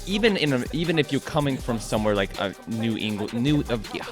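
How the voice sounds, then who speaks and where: A man with a Californian accent hosting a casual interview, animated, laughing often and talking with lots of fillers. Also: mid-range voice, fast, music in the background. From United States. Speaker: podcast host.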